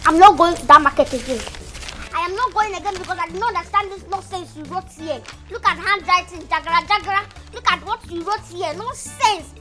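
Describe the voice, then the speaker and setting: A woman's voice: very high-pitched, a little fast, few pauses, bright, forceful tone, soft background music. A woman playing a cheeky young house help who talks back, with a Nigerian accent. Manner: sassy, talks back, stumbles over words.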